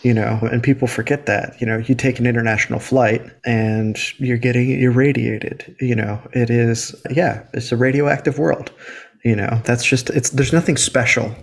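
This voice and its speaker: A man hosting a relaxed podcast interview, with a noticeably gravelly, creaky edge to his voice. His pitch sits in an ordinary middle range, and his talk is loose and chatty. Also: moderate pace. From Illinois, United States. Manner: laid-back, chatty, laughs easily. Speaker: podcast host. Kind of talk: casual interview chat.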